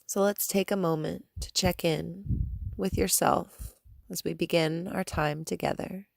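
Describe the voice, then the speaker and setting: Slow, creaky-voiced woman guiding meditation. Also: fairly low pitch, no room sound. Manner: calm, soothing, gentle instructions with long pauses. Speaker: yoga and meditation teacher. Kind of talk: guided meditation.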